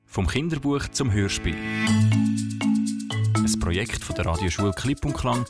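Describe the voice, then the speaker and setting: A woman's voice: extremely low pitch, lively intonation, unhurried. A woman announcer reading scripted programme credits. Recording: loud music under the voice. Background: loud music.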